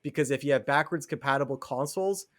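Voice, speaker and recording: A man's voice: mid-range voice, fast, a bit bright. A man co-hosting a podcast, talking casually and explaining his opinions on video games. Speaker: podcast co-host.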